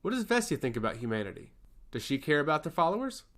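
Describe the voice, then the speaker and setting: A composed and curious woman from a fantasy storybook, read by a male narrator. She sounds reasonable and questioning, with animated rises and falls in pitch. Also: fast talker. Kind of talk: fantasy storybook character dialogue.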